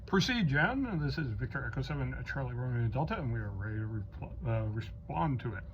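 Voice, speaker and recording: A man's voice: mid-range voice, lively intonation, a little fast. A man acting as net controller on a ham radio roll call, calling stations in informal procedural patter with some hesitation. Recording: muffled lo-fi audio with clear noise.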